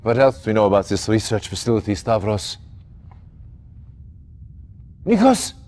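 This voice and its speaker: A man's voice: lowish voice, big pitch swings, normal speed, a little mellow. An actor playing an authoritative male commanding officer who briefs and orders his commander in a game cutscene. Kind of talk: video game cutscene acting.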